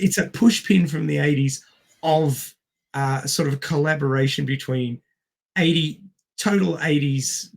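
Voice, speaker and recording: A man with a strained voice co-hosting a casual movie discussion, halting as he thinks aloud. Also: mid-range voice, creaky. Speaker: film podcast host. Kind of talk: casual movie review chat.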